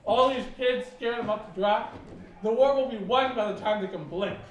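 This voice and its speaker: A man's voice: high voice, moderate pace, natural voice. An actor playing an indignant, conservative American father who rants in a declamatory way on stage. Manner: ranting, declamatory. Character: gruff, indignant conservative middle-aged American father and husband. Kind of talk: stage acting in a one-act play. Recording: echoey stage recording, distant mic.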